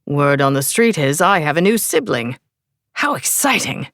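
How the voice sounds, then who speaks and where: A woman's voice: deep voice, big pitch swings, normal speed, a bit bright, no echo, studio quality, heavily acted. A woman voicing a teasing young male vampire with an English accent, playful and mocking. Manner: playful and mocking, sing-song.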